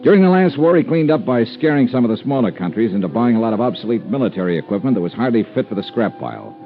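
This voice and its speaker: Hard-boiled American male investigator, animated pitch, clipped and world-weary, muffled old-radio sound. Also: fast. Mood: wry narrating. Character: hard-boiled, wry freelance insurance investigator, adult man. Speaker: lead actor and first-person narrator. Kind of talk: 1950s radio detective acting and narration. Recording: muffled vintage radio recording with music.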